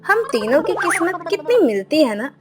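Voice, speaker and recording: A woman's voice: high voice, big pitch swings, moderate pace, over music. A woman voicing Kirti, a friendly and gossipy young wife, in a cartoon story. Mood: chatty. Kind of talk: cartoon voice acting.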